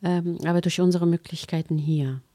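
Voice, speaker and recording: A woman's voice: fairly low pitch, steady tone, moderate pace, mellow, no echo. A woman from Afghanistan speaking as a workshop speaker in a radio discussion, thoughtful and hesitant, with many fillers.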